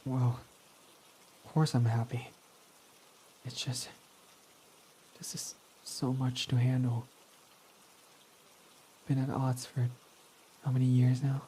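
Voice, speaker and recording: A once-hostile villain, now tender, voiced by a young man in an intimate roleplay. His mellow, dark-toned voice sits at a middling pitch and often slips into a whisper. Rain patters faintly behind him. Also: little pitch movement, slow, pauses often, a little hiss or hum, lightly acted. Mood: soft, affectionate. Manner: soft-spoken, often dropping to a whisper. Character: guarded young male villain, softened by love. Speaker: ASMR roleplay voice actor. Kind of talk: ASMR romantic roleplay.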